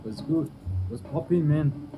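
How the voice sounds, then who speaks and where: A man's voice: a little high, unhurried, rough recording. A man from Vietnam chatting easygoing on a video call, giving short replies and laughing easily. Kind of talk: casual video chat. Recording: video-chat clip over loud music and guitar.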